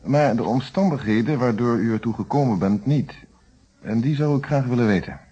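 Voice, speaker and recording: Guy playing a dutch police inspector, patient but stern, husky, matter of fact, dull old radio play audio. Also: medium pitch, fairly quick, mellow, lightly acted. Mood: probing, measured. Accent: Netherlands Dutch.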